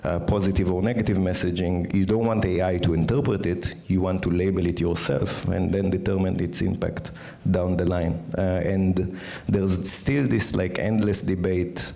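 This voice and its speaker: A man on an industry panel giving brisk, confident opinions. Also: deep voice, fairly even intonation, gravelly, mellow. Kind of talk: conference panel discussion.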